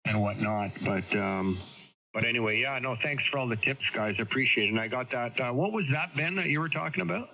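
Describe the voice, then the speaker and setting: A congested man rambles through explanations in a casual amateur radio chat. His voice sits at a middling pitch for a man. The transmission is dull, with clear noise behind it. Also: fairly quick. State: congested with a head cold. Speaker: amateur radio operator on a group call.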